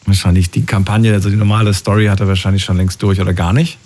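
A man hosting a radio call-in, his voice a little low. He chats easily and upbeat, reads listener messages aloud and keeps callers talking. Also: flat delivery, quick pace, a little mellow. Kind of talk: casual radio phone-in chat.

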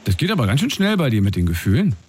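Husky-voiced man hosting radio call-ins. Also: medium pitch, wildly swooping pitch, racing, a little mellow. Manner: friendly, probing questions with many restarts. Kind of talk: casual call-in radio talk.

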